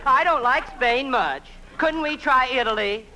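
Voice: sits high, unhurried. An actor playing a naive, eager young boy tourist with wide-eyed gee-whiz slang in an old radio comedy sketch. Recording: noisy old radio broadcast recording.

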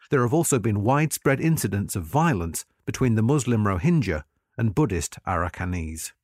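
Male narrator for long-form article, scripted documentary read, lively melody, dry recording. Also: medium pitch, husky, no echo.

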